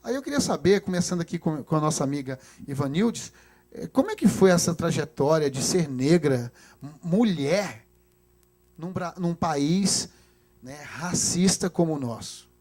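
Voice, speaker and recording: A man with a Brazilian accent hosting a conversational interview, putting questions in halting phrases. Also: on the high side, animated, darkish tone. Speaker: host interviewing guests.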